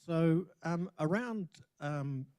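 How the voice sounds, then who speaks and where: A soft-spoken man who grew up in the South Pacific, a researcher talking casually about his lab on stage, filling gaps with frequent ums. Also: fairly high pitch, lively intonation, slow pace. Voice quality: soft-voiced, does not project well. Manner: casual, self-deprecating humor with frequent ums. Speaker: researcher and professor. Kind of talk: speaking at a public panel.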